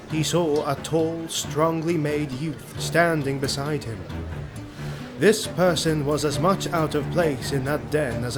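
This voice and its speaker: Slow, measured male fantasy narrator. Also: on the high side. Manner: measured, theatrical storytelling. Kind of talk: dramatic scripted fantasy narration. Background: prominent music.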